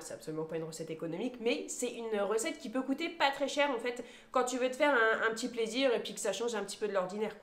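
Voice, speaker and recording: A woman's voice: fairly high pitch, slight background noise. Chatty French woman in an echoey room. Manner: chatty, lots of hesitation fillers, lists items one by one. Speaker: vlogger showing her grocery haul. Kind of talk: casual chat to camera. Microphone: phone camera.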